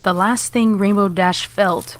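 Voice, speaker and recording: A woman's voice: medium pitch, steady tone, unhurried, no echo. A woman narrator reading a scripted horror story.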